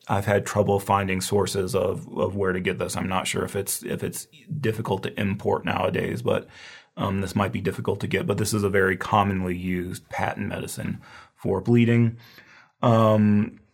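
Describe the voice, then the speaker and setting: Guy losing his voice, scratchy, kinda low, rambly teaching review w lots of ums. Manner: loose and rambling, many fillers and restarts. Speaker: herbal medicine instructor. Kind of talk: casual lecture review.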